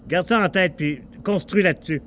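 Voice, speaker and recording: Halting Quebec man, slightly high voice. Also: fairly bright tone, slight background noise. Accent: Quebec French. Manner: halting, repetitive, informal with swearing. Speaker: vlogger talking with an AI assistant. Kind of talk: casual rambling chat.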